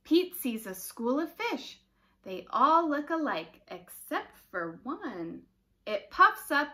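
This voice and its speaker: A young woman reading a picture book aloud to small children in an expressive, dramatic way. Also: high voice, takes it slowly. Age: young adult. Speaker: children's story time reader. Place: living room indoors, by a brick fireplace.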